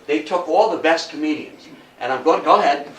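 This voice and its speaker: A man giving an informal public lecture, talking conversationally and haltingly and often putting questions to his listeners. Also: fairly high pitch, fairly bright tone. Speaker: guest lecturer. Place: indoor meeting room, before a local audience. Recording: echoey room, distant microphone.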